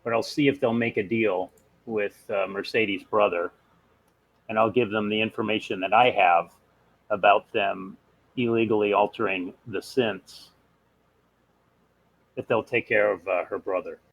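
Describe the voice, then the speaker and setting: A man's voice: fairly low pitch, fairly even intonation, a little slow, creaky, pauses often, poor audio. A man who plays a tabletop game, casually talking over plans with the group.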